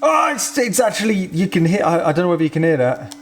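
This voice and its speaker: A British man giving casual, excitable hands-on commentary as he tinkers with gadgets, thinking aloud informally. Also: high voice, quick pace, a little hiss or hum. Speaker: host of a vintage technology museum channel.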